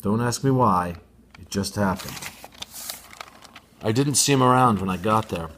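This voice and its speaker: Male storybook narrator, lowish pitch, deliberate and expressive read. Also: a little hiss or hum. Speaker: storybook reader. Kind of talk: expressive audiobook narration for young readers.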